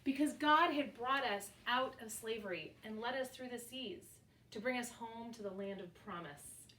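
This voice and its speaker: Woman lay reader at a church service, steady and solemn, roomy sound, voice set back from the mic. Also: a little high, a little hiss or hum. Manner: measured, solemn reading. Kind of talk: liturgical reading aloud. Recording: echoey church, voice picked up from a distance.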